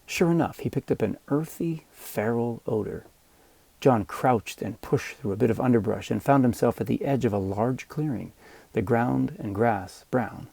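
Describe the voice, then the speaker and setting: Gravelly, mellow male audiobook narrator. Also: medium pitch, no room sound. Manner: measured, dramatic storytelling. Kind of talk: scripted fiction narration.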